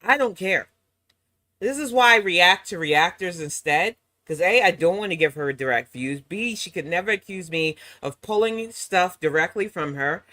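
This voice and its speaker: Sassy slightly hoarse woman streamer. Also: fairly low pitch, lively intonation, normal speed, sharp tone, no echo. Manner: sassy, mocking asides. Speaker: reaction channel host. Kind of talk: casual live-stream chat.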